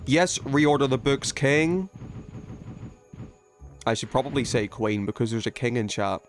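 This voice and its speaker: A man's voice: medium pitch, measured pace, faint music behind. A man from the UK chatting casually to his viewers while gaming, with an emphatic, opinionated, sweary way of talking. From United Kingdom. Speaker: video game livestreamer.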